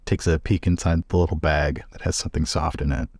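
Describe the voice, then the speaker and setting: A man's voice: extremely low pitch, steady tone, fairly quick, a little mellow, husky, no room sound, clean studio sound. A man playing a tabletop roleplaying game, casually describing what his character does. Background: faint music.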